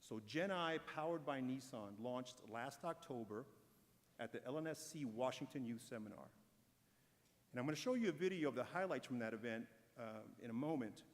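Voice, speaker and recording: A man's voice: mid-range voice, expressive. Encouraging man speaking in a reverberant hall. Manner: measured, encouraging delivery. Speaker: corporate speaker at an awards ceremony. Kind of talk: prepared speech. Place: large event hall.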